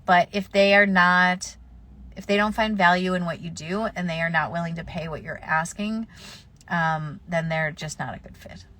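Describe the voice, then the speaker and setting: A woman's voice: fairly low pitch, steady tone, moderate pace, bright, forceful tone. Woman with a cold giving casual advice. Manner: practical, conversational, many fillers. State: slightly stuffy with a cold. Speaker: florist answering viewer questions.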